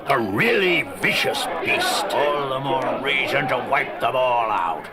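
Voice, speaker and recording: An actor playing a brutal, contemptuous gorilla guard with a harsh, menacing, barking delivery. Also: male voice, medium pitch, animated, slow pace, a loud crowd. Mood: hostile, scornful. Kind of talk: 1970s audio drama acting.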